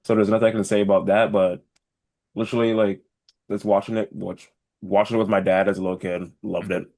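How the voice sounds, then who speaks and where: Guy with a lowish voice just chatting on a podcast, informal, repeats himself a bit. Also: little pitch movement, brisk, darkish tone. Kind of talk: casual chat.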